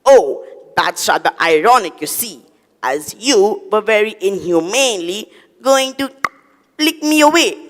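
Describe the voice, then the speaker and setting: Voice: mid-range voice, expressive, measured pace, fairly bright tone. Teen student doing a talking cockroach, indignant, preachy, mocking, echoey hall. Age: teens. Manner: cautious then lecturing, mocking humans.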